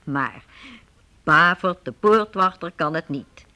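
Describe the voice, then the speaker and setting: A radio drama storyteller. Her rather low voice moves in lively sweeps as she explains and builds suspense with deliberate, expressive narration. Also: full character voice. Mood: explaining, suspenseful.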